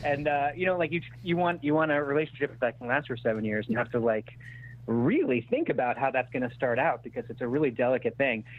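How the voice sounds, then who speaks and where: Screenwriter guest, male, thirties. Halting, self-deprecating, conversational; narrow telephone-band audio. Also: mid-range voice. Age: 30s. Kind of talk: casual interview chat. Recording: thin phone-like radio-style sound.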